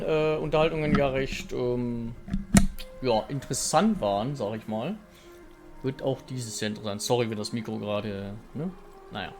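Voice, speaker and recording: Male gaming host, native German, walkthrough commentary and read-aloud narration, light music bed. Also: mid-range voice. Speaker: gaming YouTuber.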